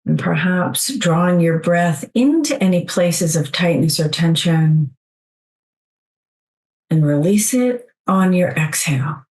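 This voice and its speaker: Woman, meditation guide, lowish voice, very slow pace, soothing delivery, long pauses. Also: expressive, rough recording. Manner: soothing, unhurried, gentle instruction with long pauses.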